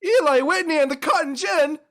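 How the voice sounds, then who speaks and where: A woman's voice: high voice, a little fast. A woman playing a studious, good-natured teenage schoolboy in a drama read. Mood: anxious studying. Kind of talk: audio drama table read acting.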